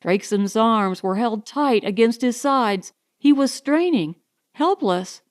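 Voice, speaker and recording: Lady reading a sci-fi story, kinda high voice, really slow and measured, dramatic delivery. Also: animated. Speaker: audiobook narrator. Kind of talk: scripted dramatic science fiction narration.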